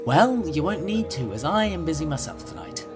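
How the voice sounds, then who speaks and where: Man playing an animated, opinionated male student. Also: on the high side, normal speed, loud music behind the voice. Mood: cheerful. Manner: animated, eager explaining. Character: chatty, opinionated male college student, history enthusiast. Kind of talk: amateur audio drama dialogue.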